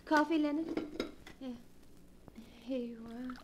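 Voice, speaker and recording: A jittery young wife, speaks in a breathy, pleading voice that sits very high. She sounds like something out of a 1940s radio melodrama. The old broadcast recording gives it a dated feel. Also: takes it slowly, a little hiss or hum. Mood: anxious. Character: nervous young wife, easily shocked.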